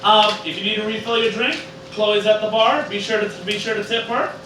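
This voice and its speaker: A man's voice: high voice, steady tone, brisk. A tipsy man hosting a live show in a bar with casual, boisterous announcing. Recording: very echoey room, distant, noisy.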